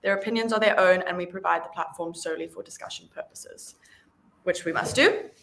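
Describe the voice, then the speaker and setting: A woman's voice: on the low side, a little fast, gravelly, a bit bright. A woman with a South African accent hosts an online discussion in a casual, conversational style. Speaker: host.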